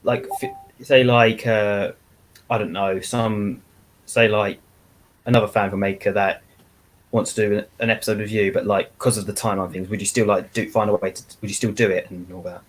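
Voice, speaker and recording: A man's voice: on the low side, flat delivery, moderate pace. A man co-hosting a casual podcast, hesitant and stammering as he repeats words. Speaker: podcast co-host.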